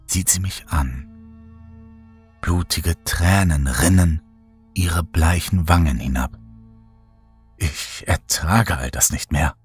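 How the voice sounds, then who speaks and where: A man narrating a horror story as a scripted audiobook, in a measured, dramatic storytelling manner. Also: lowish voice, breathy edge.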